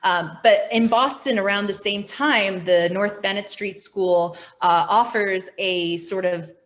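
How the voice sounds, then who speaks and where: A woman on a museum panel, answering audience questions in measured, scholarly explanations. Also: medium pitch. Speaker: furniture historian answering questions.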